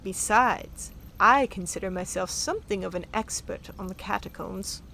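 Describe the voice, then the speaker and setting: A woman performs a courtly, scholarly guide from a space adventure. Her voice has a marked creak and a medium pitch, and she speaks formally and with assurance. There is faint noise behind her. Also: moderate pace, sharp tone, poor audio. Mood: gracious, assured. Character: gracious, self-assured female alien dignitary, scholarly guide. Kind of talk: sci-fi audio drama acting.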